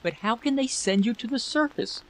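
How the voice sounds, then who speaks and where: Man doing an anxious, high-voiced bitter wife. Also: normal speed, slight background noise. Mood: frightened, pleading. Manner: pleading, emphatic, repeating questions. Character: anxious, bitter wife pleading with her husband.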